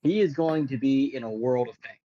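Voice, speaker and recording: A man's voice: mid-range voice, fairly quick, dull audio, a crowd in the background. A man on a podcast panel telling stories in a blunt, casual, conversational way. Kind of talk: casual chat, telling anecdotes.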